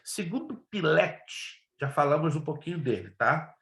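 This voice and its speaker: A Brazilian man, native in Spanish, teaches a class in the manner of a pastor. His voice sits fairly high for a man and carries a roomy echo. He is emphatic, repeating key phrases and pressing listeners with direct questions. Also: sing-song, moderate pace, fairly bright tone. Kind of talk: preacherly lesson with personal testimony. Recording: roomy, echoing sound.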